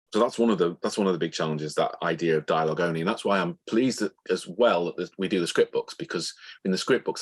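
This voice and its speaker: Very low-pitched man explaining his craft. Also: slightly rough. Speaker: interview guest, author of novels and audio dramas. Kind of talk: conversational interview about writing craft.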